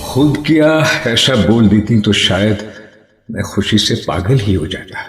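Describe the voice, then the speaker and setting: A man playing a poetic doctor husband with private disappointments, theatrical and expressive, in a Hindi radio play. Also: fairly low pitch, measured pace, reverberant, faint music behind. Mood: wistful, resentful.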